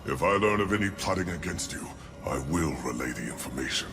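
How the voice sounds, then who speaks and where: A humbled angel speaks with a very deep, solemn voice that moves widely in pitch. Game music runs loudly behind him. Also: male voice, animated, a little fast, in a large hall. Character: humbled male angel pledging loyalty. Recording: cinematic game audio with music.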